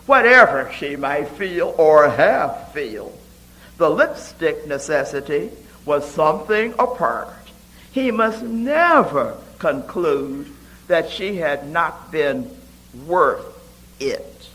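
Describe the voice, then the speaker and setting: A man giving a dramatic poetry reading, deliberate and expressive, with emphatic pauses. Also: on the high side, rough recording.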